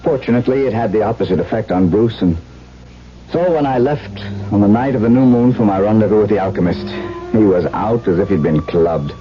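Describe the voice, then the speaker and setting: A man's voice: lowish voice, a little fast, lightly acted. A man acting an anguished, lovesick husband who narrates a gothic horror tale in earnest, literary 1970s radio drama style. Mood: somber, tense. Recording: dull 1970s radio broadcast with loud music.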